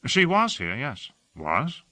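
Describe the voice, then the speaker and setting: A man's voice: medium pitch, huge pitch swings, slow, pushed and bright, no room sound, clean studio sound, voice pushed up, full character voice. A man voicing an airy, languid male citizen who gossips in an affected, lilting drawl.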